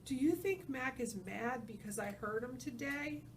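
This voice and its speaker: A high, childlike young girl elephant from a storybook as a woman reads her aloud, innocent and easily frightened. She asks things hesitantly and pleadingly, slightly away from the mic with faint noise behind. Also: moderate pace, distant mic. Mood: worried.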